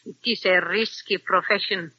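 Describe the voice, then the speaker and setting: A woman's voice: medium pitch, steady tone, moderate pace, breathy edge, dry recording, close to an everyday voice. An actress playing a scheming woman fascist agent with coaxing, formal foreign phrasing, in an old radio drama. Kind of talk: 1940s radio acting. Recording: dull old radio recording.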